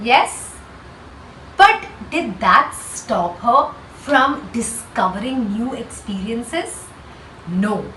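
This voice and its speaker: A woman's voice: fairly high pitch, lively intonation, drawn-out words, bright, forceful tone. A woman with an Indian accent speaking as a schoolteacher to children, explaining instructively with emphatic rhetorical questions. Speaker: schoolteacher hosting a read-aloud for children. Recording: roomy echo, distant mic, faint noise.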